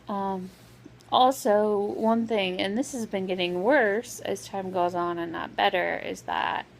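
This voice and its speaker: A woman vlogger talking casually with a sore, scratchy throat, pausing often with lots of "um"s. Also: mid-range voice, fairly even intonation, vocal fry. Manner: hesitant, full of "um" fillers. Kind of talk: casual vlog update.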